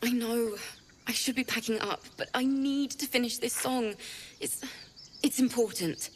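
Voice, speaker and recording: An actress playing a dreamy, frustrated young female bard in a fantasy game, speaking haltingly. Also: on the high side. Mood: sheepish, wistful. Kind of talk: video game voice acting.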